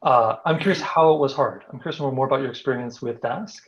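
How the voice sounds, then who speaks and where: A man's voice: medium pitch, fast talker. A man co-hosting a video-call chat, asking curious follow-up questions. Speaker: co-host. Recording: dull lo-fi call audio.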